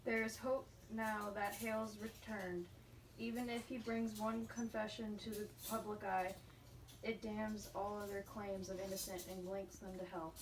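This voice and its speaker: An anxious, fearful colonial minister acted by a woman in a puppet play, pleading with his betters. The pitch is average. The recording is slightly distant, with faint noise behind it. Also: little pitch movement, moderate pace, natural voice. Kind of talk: amateur puppet show acting of a stage play. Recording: distant mic with background room noise.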